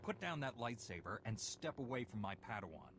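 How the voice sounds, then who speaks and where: An actor playing a determined, protective Jedi knight in a video game, firm and resolute. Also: male voice, medium pitch, big pitch swings, a little fast, fairly bright tone, slight background noise, poor audio. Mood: defiant.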